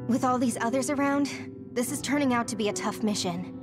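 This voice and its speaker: A woman voicing an earnest, expressive young soldier in an anime game. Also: on the high side, fairly quick, lightly acted. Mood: concerned. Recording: loud music behind the voice.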